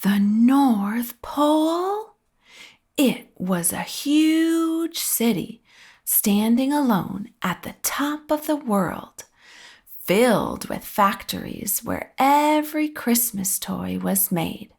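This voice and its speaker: A woman reading a children's story aloud with expressive, drawn-out phrasing and a breathy edge at the ends of phrases. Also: a little high. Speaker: storybook reader.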